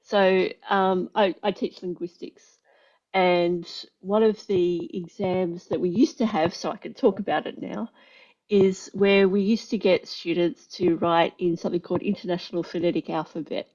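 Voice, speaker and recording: An Australian woman hosting a casual chat, telling a story in a chatty, animated way with many ums. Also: on the low side, moderate pace. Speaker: host, linguistics teacher. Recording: slightly dull lo-fi audio.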